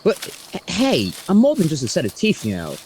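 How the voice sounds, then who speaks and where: A man's voice: on the high side, huge pitch swings, fairly quick, darkish tone, a little hiss or hum. A man in a podfic audio drama playing a cocky, unrepentant scrapper with easily bruised pride. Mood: wounded pride.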